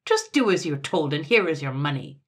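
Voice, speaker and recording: A woman's voice: low voice, sing-song, normal speed, breathy edge, exaggerated voice. A woman voicing a tiny, hot-tempered male mannikin from a storybook, snapping out haughty commands. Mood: brusque. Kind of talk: storybook character voice.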